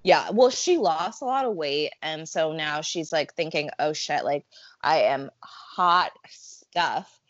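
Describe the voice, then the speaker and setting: A woman in casual chat, talking fast and loose with lots of filler words. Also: sits low, lively intonation, no room sound, lo-fi sound. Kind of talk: casual chat about a reality show.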